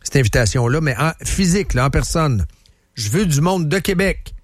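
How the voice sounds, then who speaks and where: A Quebec radio host with a slightly raised pitch, chatty and emphatic, who often repeats his points. The studio sound is dry and close. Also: expressive, moderate pace, no room sound. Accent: Quebec French. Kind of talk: casual radio talk.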